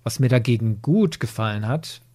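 A man's voice: mid-range voice, expressive, normal speed, a little mellow, dry recording. A man hosting a podcast, giving his opinions analytically in a conversational review discussion. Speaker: podcast host.

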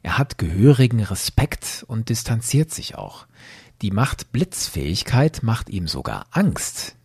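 A man's voice: on the low side, big pitch swings, a little slow, darkish tone, slightly rough, no echo. A man hosting a podcast, giving structured, explanatory commentary in a prepared narration style. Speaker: podcast host.

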